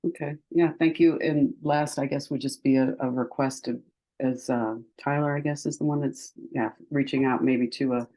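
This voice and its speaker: A man with a dark, mellow voice and little pitch movement speaks as a webinar attendee. His delivery is casual, tentative and halting. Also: fairly even intonation, poor audio. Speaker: audience member asking questions.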